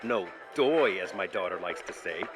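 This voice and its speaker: A gruff diner owner and dad in an audio drama. His voice is low and swings expressively, with a casual, teasing manner. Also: animated, normal speed. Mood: amused. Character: gruff, wry male diner owner, a father.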